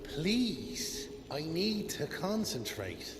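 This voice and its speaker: An actor playing a foppish, sly, cowardly vampire captive with a theatrical, prissy, wheedling manner in a video game. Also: male voice, a little high, big pitch swings, very slow, exaggerated voice. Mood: prissy, nervous. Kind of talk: video game cutscene acting. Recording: echoey reverberant space, loud noise or music.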